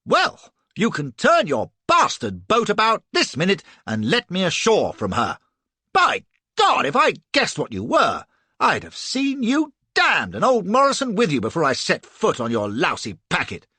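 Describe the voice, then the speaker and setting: A man doing a panicked young officer, pitched high. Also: wildly swooping pitch, a little slow, pushed and bright, husky, no room sound, exaggerated voice. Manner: frantic, pleading and blustering. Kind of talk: audiobook character voice.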